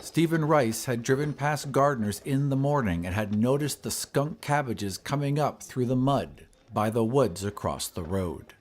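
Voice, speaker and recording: A man reading a classic horror story as scripted narration, in a measured, literary storytelling manner. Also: medium pitch, slight background noise. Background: ambient sound effects.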